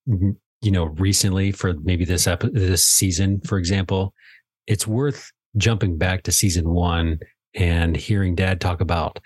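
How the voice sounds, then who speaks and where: A man co-hosting a podcast in casual conversation, easygoing and quick to interject agreement. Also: low-pitched, fairly even intonation, a little mellow, slightly rough, no echo. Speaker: podcast co-host interviewing his father. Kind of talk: casual chat.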